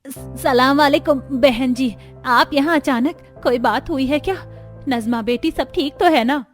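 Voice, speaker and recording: A woman's voice: high voice, measured pace, soft background music, pitched above the performer's own voice. A woman voicing a poor, timid middle-aged mother in a cartoon story, humble and deferential. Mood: nervous.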